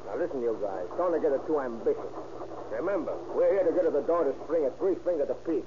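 An actor playing a bossy, tough gangster leader in an old radio drama, talking in gruff, slangy tough-guy speech. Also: a little high, expressive, fast talker, lo-fi sound, lightly acted. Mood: boastful. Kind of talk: 1930s radio acting. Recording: scratchy old radio recording with hiss.

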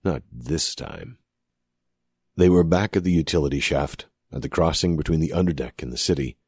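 A man narrating scripted fiction as an audiobook reader, with dramatic, measured and deliberate phrasing. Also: very deep voice, a little mellow, slightly rough, no room sound, clean studio sound.

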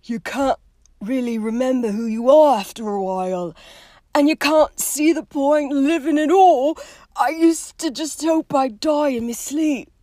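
A woman voicing a big, rough-spoken, soft-hearted and despairing gamekeeper man in an audiobook, with drawn-out, emotional speech. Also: high-pitched, no echo, pitched above the performer's own voice. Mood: haunted, emotional. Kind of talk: audiobook character voice.

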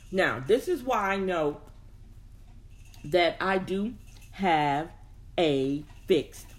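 Woman presenter, unhurried and slightly low-voiced, emphatic instructional delivery with halting asides. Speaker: presenter teaching a self-improvement lesson. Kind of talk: instructional talk, reading examples with personal asides.

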